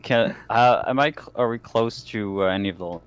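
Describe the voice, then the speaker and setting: A man playing a tabletop roleplaying game, talking casually in a halting, informal way. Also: on the low side, clear, resonant tone, no echo. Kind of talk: casual chat during a game.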